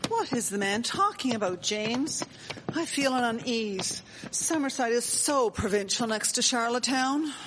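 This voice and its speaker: A woman's voice: a little high, animated, measured pace, background noise. Woman playing a prim Victorian scold in a hall. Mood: uneasy, snobbish. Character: prim, disapproving Victorian woman, uneasy and indignant. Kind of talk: live radio drama acting. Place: performing arts centre stage. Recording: long hall reverb of live stage.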